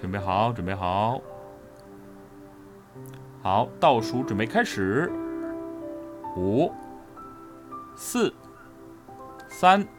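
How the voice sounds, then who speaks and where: A man's voice: lowish voice, big pitch swings, very slow, pauses often, music in the background. A man co-hosting a casual livestream with playful, teasing banter. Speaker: co-host of a livestream for an audio drama.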